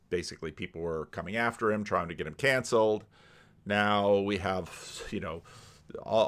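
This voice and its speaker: A man giving casual, unscripted commentary in a rambling, halting way, often restarting his sentences. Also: on the low side, a bit bright. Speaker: YouTube commentator and pastor.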